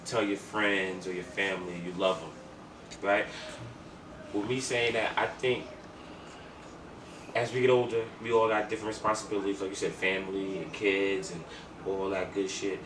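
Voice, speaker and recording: A man's voice: fairly low pitch, fairly even intonation, measured pace, long pauses. A man co-hosting a podcast, chatting and telling stories in an emphatic, slangy way with repeated phrases. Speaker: podcast co-host. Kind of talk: casual chat and storytelling. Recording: echoey room, distant mic, clear noise.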